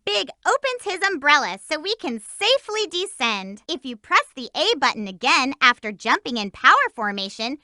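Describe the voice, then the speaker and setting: A peppy young hedgehog heroine, bossy and bubbly in a video game. Her voice sits high and swoops up and down with lots of life. It comes across dry and close, with no room around it. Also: pushed and bright, no echo, full character voice. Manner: bubbly and bossy, chirpy exclamations. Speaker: voice actress. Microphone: studio mic.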